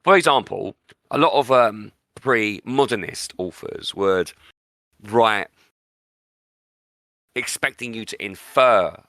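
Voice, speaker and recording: A man's voice: fairly low pitch, slow, pauses often, a bit bright, no room sound, studio quality. A man hosting a podcast, discussing books in an opinionated, emphatic way and repeating words for stress. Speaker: podcast host.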